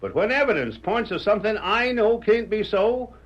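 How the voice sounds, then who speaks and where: Chatty frontier deputy, drawling dialect, high voice, muffled old radio. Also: male voice, lively intonation, moderate pace. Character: folksy frontier deputy man, talkative and loyal. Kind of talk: 1930s radio drama acting.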